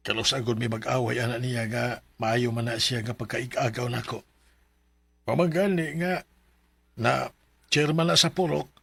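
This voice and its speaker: Husky, gravelly, troubled Cebuano man. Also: mid-range voice, fairly bright tone, no room sound. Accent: Cebuano Filipino. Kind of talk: radio drama acting.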